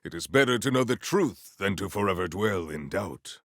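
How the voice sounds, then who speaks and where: An actor playing a proud, honorable warrior in a video game, speaking in a stately, theatrical, formal way. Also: male voice, low-pitched, lively intonation, moderate pace, dry recording, studio quality. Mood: earnest, noble. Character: proud, honorable, formal warrior, adult man, earnest and grandiose. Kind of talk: video game character voice lines.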